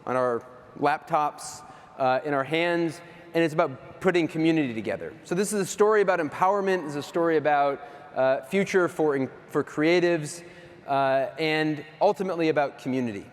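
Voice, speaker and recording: A man's voice: fairly high pitch, moderate pace. A middle-aged man from the US East Coast giving a casual keynote talk on stage, chatty and self-deprecating with lots of fillers. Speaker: keynote speaker. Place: large hall stage. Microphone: handheld or stage mic. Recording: reverberant hall.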